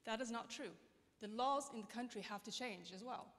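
A woman's voice: fairly high pitch, brisk, fairly bright tone. A woman from Britain speaks up from the audience at a conference, challenging the speaker earnestly and fluently into a handheld microphone. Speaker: audience member asking a question. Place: large conference hall, seated in audience. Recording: long hall reverb.

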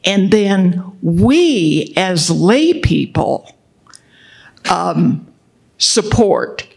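A woman from the audience speaks very slowly and deliberately into a handheld microphone in a university hall. Her manner is frustrated and emphatic, stretching out each phrase. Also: lowish voice, big pitch swings, creaky, a little mellow. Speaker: audience member. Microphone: handheld mic.

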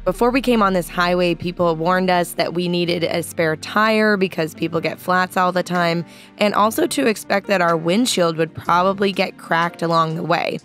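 A woman's voice: on the low side, moderate pace, a bit bright, soft background music. Young woman vlogging casually. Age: young adult. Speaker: travel vlogger. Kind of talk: casual vlog chat and narration. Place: inside a camper van and outdoors.

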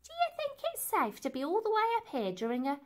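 A woman voicing a scatty, dramatic but kind-hearted cleaning lady in a storybook, with exclamatory, drawn-out delivery. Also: extremely high pitch, huge pitch swings, darkish tone, pitched above the performer's own voice, full character voice. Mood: anxious, wistful.

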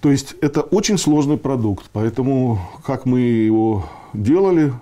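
A man in his sixties giving conversational interview answers, explaining haltingly and posing rhetorical questions. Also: mid-range voice, animated, warm tone, slight background noise. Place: meeting room, indoors.

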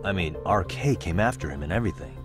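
A man's voice: low-pitched, lively intonation, fast, music in the background. An actor playing a guarded, determined private detective in his thirties in a video game. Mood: serious, resolute. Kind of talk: video game cutscene dialogue.

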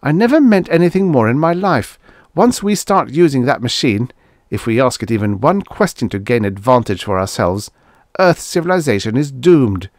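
A man's voice: medium pitch, animated, moderate pace, no echo, clean studio sound, close to an everyday voice. A man playing an anxious space explorer in a science fiction audiobook, earnest and insistent as he pleads with urgency. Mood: desperate, alarmed.

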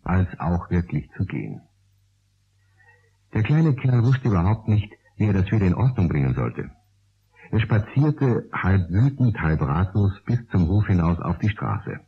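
Deep, husky male storyteller. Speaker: narrator. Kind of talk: storytelling narration for a radio play. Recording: dull old radio play recording.

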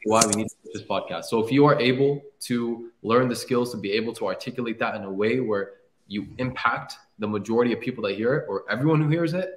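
A man's voice: medium pitch, quick pace, reverberant, a little hiss or hum, rough recording. A man hosting a podcast who makes his point emphatically and persuasively. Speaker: podcast host. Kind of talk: casual podcast chat.

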